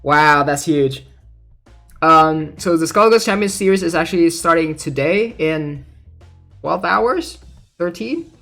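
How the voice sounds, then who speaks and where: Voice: mid-range voice, lively intonation, takes it slowly, creaky, fairly bright tone, soft background music. A gaming content creator giving casual spoken commentary on game news, sometimes reading announcements aloud.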